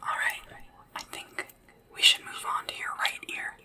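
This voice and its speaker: A performer whispering in a breathy, soothing, chatty way, playing a gentle sleep assistant to royalty in an ASMR roleplay. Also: moderate pace, recorded from a distance. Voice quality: breathy, mostly unvoiced whisper. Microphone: close ASMR microphone, ear to ear.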